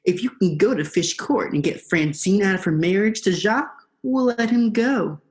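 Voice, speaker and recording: A woman's voice: mid-range voice, expressive, normal speed, a little mellow, close to an everyday voice. A woman playing a snarky, theatrical eel schemer in a radio play, with a sardonic, dramatic manner. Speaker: actor.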